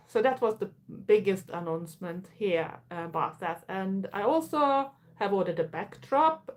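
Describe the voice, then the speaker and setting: A woman's voice: medium pitch, darkish tone. A middle-aged woman from Sweden with a Swedish accent, chatting casually on a live stream in her bedroom, answering viewer comments with plenty of fillers. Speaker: YouTuber hosting a live stream. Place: bedroom at home. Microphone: phone camera.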